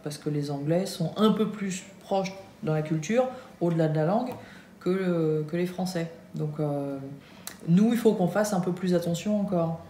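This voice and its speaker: Bavardage de vlog décontracté, femme française, pièce qui résonne, léger bruit de fond. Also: female voice, lowish voice, moderate pace, mellow. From France. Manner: hesitant, many fillers and restarts. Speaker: Nike employee in the US. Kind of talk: casual vlog chat. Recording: echoey room with faint hum.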